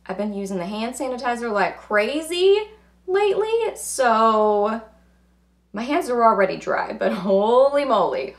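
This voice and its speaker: A woman, hoarse from losing her voice, chatting casually through a makeup review and rambling with lots of filler words. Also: mid-range voice. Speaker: beauty vlogger.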